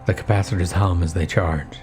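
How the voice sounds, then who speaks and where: A man's voice: sits low, fairly even intonation, fairly quick, a little mellow, soft background music. A man reading scripted first-person horror narration as the storyteller.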